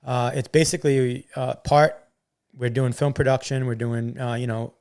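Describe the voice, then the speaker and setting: Earnest man with a flat, smooth voice. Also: medium pitch, normal speed. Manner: earnest and upbeat, repeats words, many fillers. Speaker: guest, entrepreneur. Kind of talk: casual interview chat.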